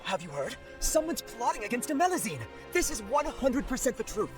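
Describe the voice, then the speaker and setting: Game bit part: earnest townsman, high and animated, urgent, with loud music underneath. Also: brisk. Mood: indignant, urgent. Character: indignant, earnest townsman rallying his neighbors.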